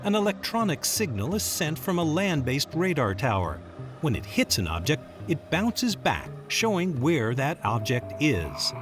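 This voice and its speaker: Male documentary narrator, slightly high voice, animated delivery over music. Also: measured pace. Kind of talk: scripted narration.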